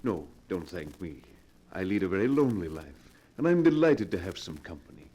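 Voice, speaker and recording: A man's voice: sits low, sing-song, brisk, dark tone, slightly rough, exaggerated voice. A man acting in an old-time radio drama as a formal, courteous, lonely recluse, genteel and insistent with a faintly sinister air. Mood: gracious.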